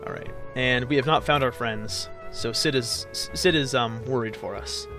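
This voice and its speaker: A man's voice: medium pitch, moderate pace, over music. A man giving casual, hesitant, rambling commentary as he hosts a video game playthrough. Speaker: let's play host.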